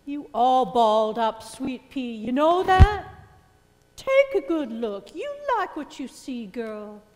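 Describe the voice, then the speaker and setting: A woman's voice: high voice, big pitch swings, slow pace, darkish tone, full character voice. A woman with an American accent playing a world-weary, motherly bartender in a staged music drama, drawling her words. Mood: weary warning. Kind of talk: staged reading of a music drama, sung and spoken. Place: performing arts theater stage. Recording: reverberant theater hall, live music.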